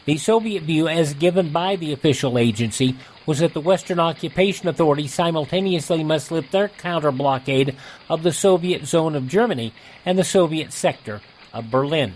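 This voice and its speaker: A man's voice: fairly high pitch, moderate pace, darkish tone, slight background noise, poor audio. A man hosting a radio show, reading old headlines as a scripted announcer. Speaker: radio host and news reader.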